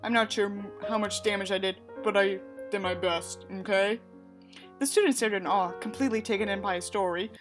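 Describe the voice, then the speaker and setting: A woman's voice: mid-range voice, a little fast, loud music behind the voice. A woman reading a book aloud and adding sarcastic, exasperated, emphatic asides of her own. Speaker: audiobook reader and commentator.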